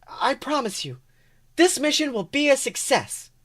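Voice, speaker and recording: An eager ten-year-old boy detective, acted out by a man, with a high voice. He is polite and precise, cheerful and earnest, like a character from a story read aloud. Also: big pitch swings, a little slow, fairly bright tone, heavily acted. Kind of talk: character voice in a fan fiction reading.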